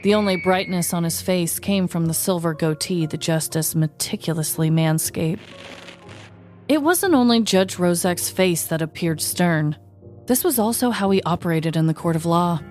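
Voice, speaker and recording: A woman hosting a podcast, reading a comedic true-crime story with wry, deadpan delivery. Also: on the low side, moderate pace, soft background music.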